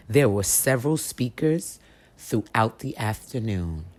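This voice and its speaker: A woman reading a history book for children aloud as expressive storytelling, with deliberate, emphatic, dramatic phrasing. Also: a bass voice.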